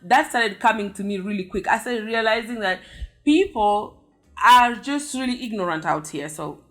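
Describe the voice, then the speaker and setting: A Kenyan woman vlogger telling a story to her viewers in a lively, chatty way, with frequent exclamations. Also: medium pitch, normal speed, faint music behind.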